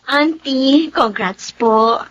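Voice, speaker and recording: A woman's voice: sits high, drawn-out words, fairly bright tone, muffled recording, exaggerated voice. A woman playing a sullen, irritable teenage daughter in a radio-style drama, with a Filipino accent and a curt manner. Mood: sullen, withdrawn.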